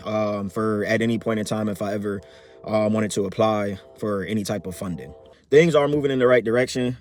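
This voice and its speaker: A man in his thirties talks through a casual personal update, voice sitting a bit low. He rambles, filling gaps with ums and restarting his sentences, easygoing and upbeat. The sound is close and dry, with no room around it. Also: dry recording. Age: 30s. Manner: rambling, many fillers and restarts; relaxed, upbeat. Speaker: vlogger.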